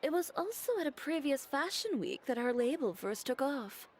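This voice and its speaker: A woman's voice: high voice, expressive, moderate pace, a little mellow, a little hiss or hum. A woman voicing a confident, blunt young female fashion designer with a composed, dry manner, in an anime-style video game. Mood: assured.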